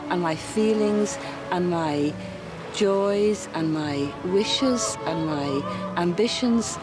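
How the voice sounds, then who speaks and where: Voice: mid-range voice, drawn-out words. An older person being interviewed, speaking thoughtfully and searching for words. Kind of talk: reflective interview. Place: outdoors by the sea. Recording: distant mic with loud music.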